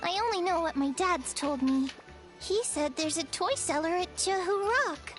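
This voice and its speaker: Casting: a little game girl, very high voice, wistful and worried, over faint music. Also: expressive, normal speed. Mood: wistful, matter-of-fact. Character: young girl, wistful, anxious about leaving. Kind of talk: video game voice acting.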